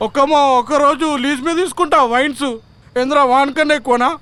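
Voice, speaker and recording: A woman playing a loud, melodramatic mourner in a comedy web series, wailing and rambling in Telangana Telugu. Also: high-pitched, quick pace, no echo. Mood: melodramatic mourning.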